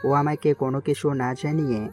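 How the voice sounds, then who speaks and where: A man playing a calm, calculating mafia king who gives measured orders in an audio story. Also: medium pitch, close to an everyday voice. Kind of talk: amateur Bengali audio-story acting.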